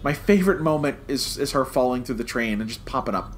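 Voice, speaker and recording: A man co-hosting a review podcast in casual, conversational talk with halting, repeated starts. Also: mid-range voice, expressive, a little hiss or hum.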